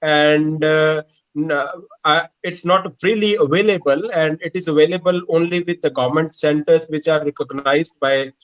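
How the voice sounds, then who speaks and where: A man, a doctor from India, answering questions haltingly in a hoarse voice. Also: a little high, steady tone. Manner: halting, searching for words. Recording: dull lo-fi call audio.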